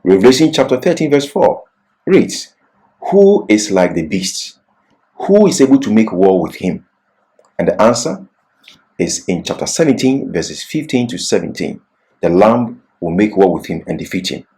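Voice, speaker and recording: A man delivering a scripted Bible lesson in an earnest, deliberate, emphatic manner. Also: on the low side, big pitch swings, warm tone, poor audio. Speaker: Bible teacher. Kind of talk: religious lesson, scripted exposition.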